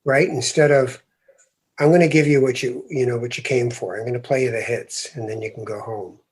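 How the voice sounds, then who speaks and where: A man hosting a podcast interview, speaking reflectively and growing animated as he makes his points, with easy laughs. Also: mid-range voice, fairly quick, slightly rough. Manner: thoughtful, animated when making a point, laughs easily. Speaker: podcast host.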